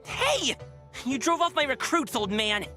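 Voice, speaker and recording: Brash, animated young dancer boy. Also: extremely high pitch, a little slow, faint music behind, heavily acted. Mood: brash, irritated. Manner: brash, exclamatory. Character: brash cocky possessed young male dancer. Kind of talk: game voice acting.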